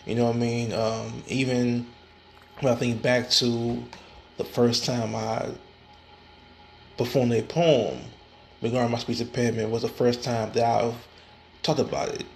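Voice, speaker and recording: A man's voice: medium pitch, level tone, unhurried, vocal fry, pauses often, darkish tone. A man hosting his own podcast, talking casually and alone, with many restarts and repeated words. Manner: frequent fillers and restarts, stammering repetitions. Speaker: podcast host. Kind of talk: casual solo podcast talk.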